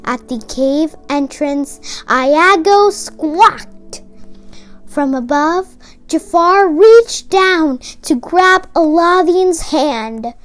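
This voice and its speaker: A storyteller reading a children's storybook aloud in an expressive, dramatic way. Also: sits high, very slow. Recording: music behind the voice. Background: music.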